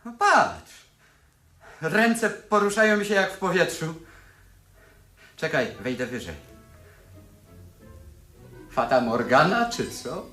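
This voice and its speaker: A man acting in a radio play as an excitable, bewildered young tenant who tells his story with animated wonder. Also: on the high side, slow pace, long pauses, echoey room, faint music behind, full character voice. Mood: puzzled.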